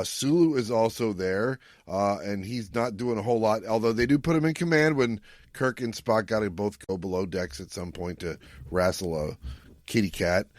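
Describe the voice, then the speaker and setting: Male podcast host, low register, jokey and casual, close dry sound. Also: no echo. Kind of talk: casual chat.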